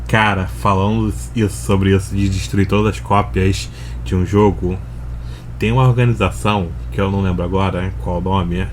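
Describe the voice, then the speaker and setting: A man's voice: low-pitched, steady tone, normal speed, slight background noise. A Brazilian man giving casual, slangy, conversational commentary as he reacts to a video.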